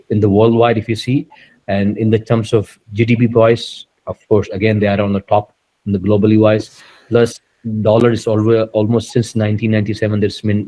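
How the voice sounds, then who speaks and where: Low-voiced man, earnest and promotional. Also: dark tone, low-quality recording. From Dubai, UAE. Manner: earnest, repetitive, promotional, with many restarts. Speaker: business founder, interview guest. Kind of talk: conversational interview.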